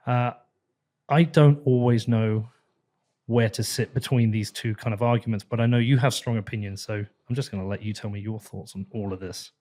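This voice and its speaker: A man's voice: fairly low pitch, fairly even intonation, normal speed, a little mellow. A man hosting a podcast interview, chatty and self-deprecating. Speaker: podcast host. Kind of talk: casual interview talk.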